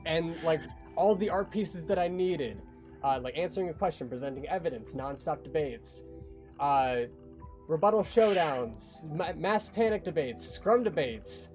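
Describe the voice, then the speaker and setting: Male streamer, lightish pitch, casual walkthrough delivery, animated and quick to laugh, music bed. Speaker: creator on a behind-the-scenes livestream.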